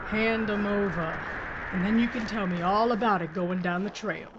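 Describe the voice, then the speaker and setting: An actress playing a tough, blunt female sheriff in a Western audio drama, barking orders gruffly. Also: medium pitch, moderate pace, highs cut off, lightly acted. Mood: commanding. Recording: loud background hiss.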